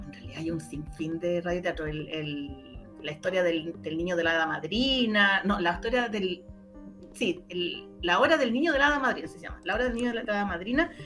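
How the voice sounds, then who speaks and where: A woman's voice: medium pitch, fairly bright tone. A middle-aged Chilean woman speaking informally as an interviewee, often searching for words and repeating her phrases as she explains her research. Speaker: interviewee, researcher and former radio journalist.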